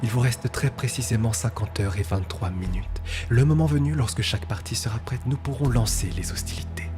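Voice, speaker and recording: A man acting in a dubbed TV thriller as a cold, condescending, time-obsessed hacker leader, speaking in a breathy, hushed, precise and formal way. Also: medium pitch, brisk, close to an everyday voice. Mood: coldly final. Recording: loud music under the voice.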